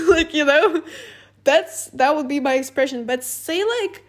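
Woman, high voice, chatty podcast host, laughs a lot, says like all the time. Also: measured pace. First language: Russian. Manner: chatty, animated, laughs often, many "like" fillers. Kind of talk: casual advice monologue.

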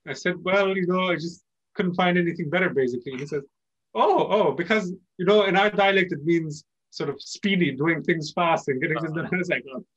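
Guy, native persian speaker, founder chatting in an interview, explains stuff w pauses, dry kinda lo-fi audio. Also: fairly high pitch, fairly bright tone, husky, no room sound. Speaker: company founder being interviewed. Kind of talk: conversational interview answers.

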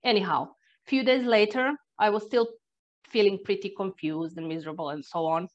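A woman's voice: medium pitch, moderate pace. Earnest Croatian-accented woman, dull sound. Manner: reflective, earnest, with sighs and restarts. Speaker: energy therapist, guest. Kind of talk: personal storytelling in conversation.

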